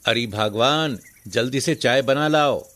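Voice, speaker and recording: A man playing a callous, greedy rich farmer, gruff and dismissive, with a husky voice, in a moral story cartoon. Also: mid-range voice, slow pace, fairly bright tone. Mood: demanding.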